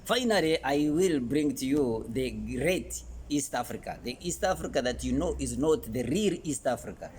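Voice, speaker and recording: Ugandan male educational presenter, emphatic and halting delivery, mid-pitched voice, some background noise. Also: animated, a little mellow. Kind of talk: informal educational presentation to camera.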